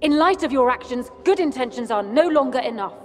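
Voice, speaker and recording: Regal, high-voiced queen. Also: lively intonation, a little fast, faint music behind. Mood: cold reproach. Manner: formal, imperious. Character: cold, regal, reproachful human queen. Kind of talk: video game cutscene acting. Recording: long hall reverb.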